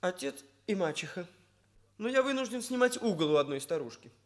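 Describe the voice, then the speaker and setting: An actor playing an earnest, proper young hairdresser in a radio play, with precise, bookish phrasing. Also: male voice, sits high, big pitch swings, brisk. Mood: earnest, formal. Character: earnest, self-improving young male hairdresser, proper and stiff. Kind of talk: Soviet radio play acting. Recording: radio play, violin music behind.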